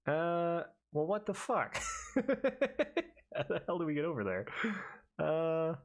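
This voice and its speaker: Guy cursing his way through a let's play, slow talker, voice swings up and down a lot. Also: on the high side, sing-song. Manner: profane, rambling, self-mocking. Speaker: let's player. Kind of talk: casual gameplay commentary.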